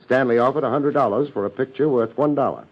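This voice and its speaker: An actor playing a laid-back, wisecracking private detective in a 1950s radio drama, with a breezy, teasing manner. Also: male voice, medium pitch, fast talker, noisy background, natural voice. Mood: dry, unruffled. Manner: wry, breezy, teasing banter. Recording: muffled vintage 1950s radio recording.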